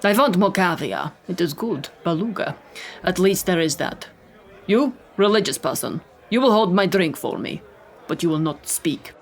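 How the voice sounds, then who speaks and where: A man's voice: high voice, lively intonation, moderate pace, pitched above the performer's own voice. A man voicing a haughty, cold Russian princess with a clipped Russian accent and a commanding manner. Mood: imperious.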